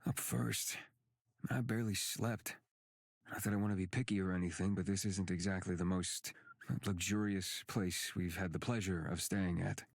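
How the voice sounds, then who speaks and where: Deep, gravelly, blunt travelling companion. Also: male voice, steady tone, normal speed, no echo, studio quality. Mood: grumbling. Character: grumbling, blunt but caring male travelling companion. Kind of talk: visual novel game voice acting.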